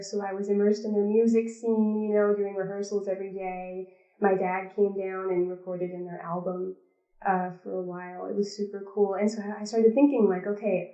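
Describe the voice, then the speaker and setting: A woman's voice: mid-range voice, dark tone. A woman in her thirties from the Pacific Northwest, chatting as a guest in an interview, talking with lots of ums and restarts. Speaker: guest, behavior analyst. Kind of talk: casual interview talk. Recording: lo-fi, roomy call audio.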